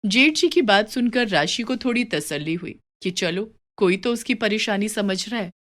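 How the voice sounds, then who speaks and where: A woman narrates a scripted moral tale. Her voice sits at a middle pitch as she tells the story. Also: expressive, moderate pace, fairly bright tone.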